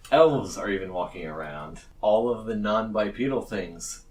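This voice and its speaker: A man casually improvising narration as a tabletop game master, pausing to search for words. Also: lowish voice, expressive, distant mic.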